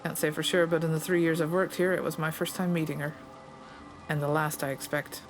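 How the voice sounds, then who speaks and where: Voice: mid-range voice, little pitch movement, a little fast, faint music behind, natural voice, rough recording. A player reading a plainspoken Scottish stable master. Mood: guarded. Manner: folksy and understated.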